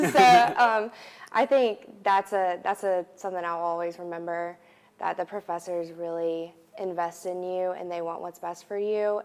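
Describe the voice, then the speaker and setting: Soft-spoken young woman student. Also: fairly low pitch. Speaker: graduate student guest. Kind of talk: casual interview answers.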